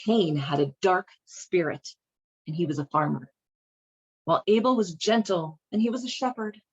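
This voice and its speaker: A woman's voice: fairly low pitch, moderate pace, husky, dull audio. A woman hosting a casual read-along and commentary, speaking in an informal, wry, irreverent way. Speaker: host reading and commenting on a children's Bible.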